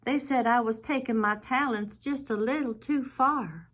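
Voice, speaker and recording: A woman's voice: on the high side, unhurried. A woman voicing a little zombie ballerina girl, dramatic and eager, in a theatrical children's storybook reading. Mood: dramatic, spooky.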